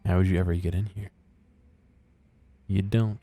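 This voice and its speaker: Low-voiced man musing over a game. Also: level tone, normal speed, dark tone. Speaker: video game streamer. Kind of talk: casual exploratory game commentary.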